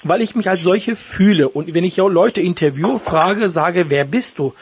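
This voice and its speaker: Interviewer: man, high voice, hesitant and searching, faint noise behind. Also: moderate pace. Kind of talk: interview conversation.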